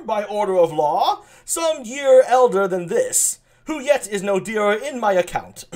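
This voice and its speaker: A bumbling old nobleman, bawdy and boastful, pitched high and swooping wildly up and down, as a man puts him on. He drags his words out slowly and booms them. It sounds like a home reading of a stage play. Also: darkish tone, exaggerated voice.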